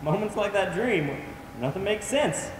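A young man acting in a stage play as a dying, confused patient, tender and frightened, speaking haltingly. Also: high voice, lively intonation. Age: young adult. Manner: halting, repeats words. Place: on a theater stage, distant camera. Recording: echoey theater hall from far off, noisy.

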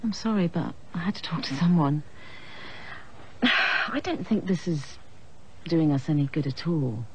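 A middle-aged woman acting a troubled married teacher in a television drama, speaking with an English accent, stammering, breathy and hesitant. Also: sits low, expressive, measured pace, pauses often, a little hiss or hum, low-quality recording. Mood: hushed. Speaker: teacher in a soap opera.

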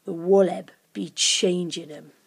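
A woman's voice: fairly low pitch, fairly even intonation, measured pace, gravelly, slightly rough, voice pushed down, heavily acted. A woman voicing a grumpy, bossy male monster with menacing broken-grammar speech in a storybook. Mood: dark, sinister.

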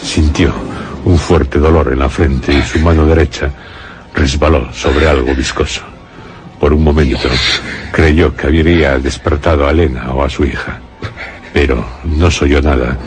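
Extremely deep Castilian male narrator. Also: fairly even intonation, darkish tone. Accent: Castilian Spanish. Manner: grave, measured storytelling. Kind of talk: suspenseful scripted radio drama narration.

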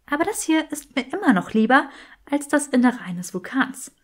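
A woman's voice: sits high, very animated, moderate pace, pitched above the performer's own voice. Woman playing a brainy young witch, raised voice. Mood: matter-of-fact, tired. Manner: matter-of-fact, articulate.

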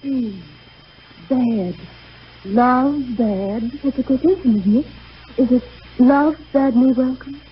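A woman's voice: sits high, slow pace, long pauses. An actress playing a solemn, questioning woman at a séance in a 1930s radio drama. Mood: solemn, expectant. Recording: noisy old 1930s radio recording, muffled.

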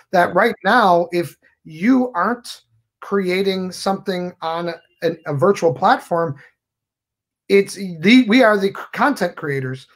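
A middle-aged man, a magician guest, talking in an expansive, philosophical way during a casual podcast chat over a video call. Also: sits high. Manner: expansive, philosophical, gestures a lot.

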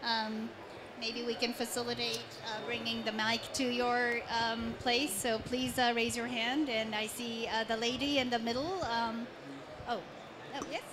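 A woman's voice: fairly high pitch, little pitch movement, a little slow. Woman moderating, hall noise behind. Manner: polite, slightly hesitant. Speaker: panel moderator. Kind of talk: moderating a panel. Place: large conference pavilion indoors.

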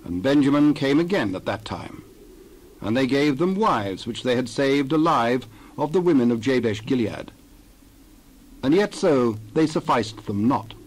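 A man narrating a dramatized Bible reading, measured and formal with archaic scripture phrasing. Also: medium pitch, expressive, rough recording.

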